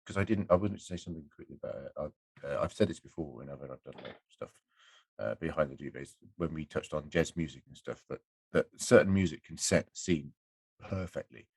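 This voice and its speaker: Deep, husky man chatting. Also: expressive, a little fast, no room sound. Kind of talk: casual podcast chat.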